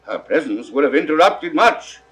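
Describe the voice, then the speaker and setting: An actor playing a stately French king in a 1960s Shakespeare audio drama, with measured, drawn-out, declamatory phrasing. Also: on the high side, big pitch swings, fairly bright tone, soft background music. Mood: regal, proclaiming. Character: stately king of France, weighing a treaty.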